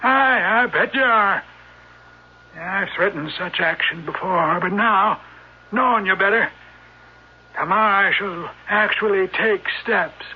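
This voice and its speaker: An actor playing a stern, wealthy old uncle with a husky voice in a 1970s radio drama. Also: male voice, high voice, a little slow. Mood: stern, resolute. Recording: muffled old radio broadcast.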